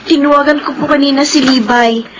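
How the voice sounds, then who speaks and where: A woman's voice: high voice, steady tone, a little fast, heavy background noise, lightly acted. An actress playing Grisel, a worried, caring young woman in a radio drama, who asks polite, anxious questions.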